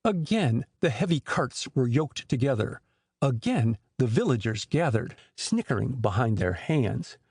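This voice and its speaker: A man with a husky voice narrating a scripted story as an expressive audiobook storyteller. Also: mid-range voice, a little slow, dry recording, clean studio sound.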